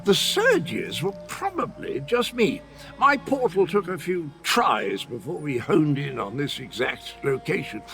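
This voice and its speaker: An actor playing a whimsical, muddled mystic in a fantasy video game, with a theatrical, rambling, airy manner. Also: male voice, a little high, big pitch swings, unhurried, pushed and bright, soft background music, heavily acted. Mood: cheerfully muddled. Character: whimsical, dotty male portal-hopping mystic, amiably muddled. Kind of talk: video game character acting.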